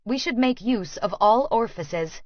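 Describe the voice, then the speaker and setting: A woman's voice: fairly high pitch, lively intonation, normal speed, no room sound, highs cut off. A woman voicing a bold, clever, cheeky female schemer in audiobook dialogue, speaking matter-of-factly.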